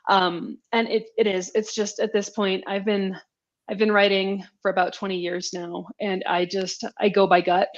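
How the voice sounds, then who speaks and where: A woman author talks casually as a guest in an interview. She is self-deprecating and quick to joke, with a mid-pitched voice. The audio is a little dull, like a video call. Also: level tone.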